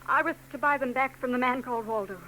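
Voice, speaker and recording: An actress playing a troubled young married woman in a 1940s radio drama, soft and hesitant. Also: high-pitched, quick pace, rough recording. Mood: guarded. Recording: old radio broadcast with hum.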